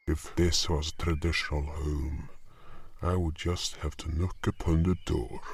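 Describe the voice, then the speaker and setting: A man as a deep-voiced beast hunter narrating. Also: heavily acted. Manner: slow deliberate storytelling, louder and excited in dialogue. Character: gruff male beast hunter, impatient but loyal. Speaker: narrator and lead character. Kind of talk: fan audio drama narration.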